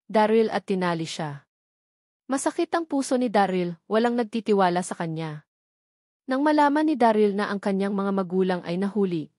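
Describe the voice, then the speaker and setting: A woman's voice: medium pitch, darkish tone, dry recording, clean studio sound. A woman reading a novel aloud as scripted narration. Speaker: audiobook narrator.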